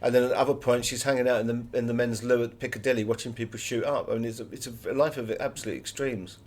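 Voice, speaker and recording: A man's voice: mid-range voice, fairly even intonation, quick pace, darkish tone. British man telling anecdotes in an interview. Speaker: interviewed author.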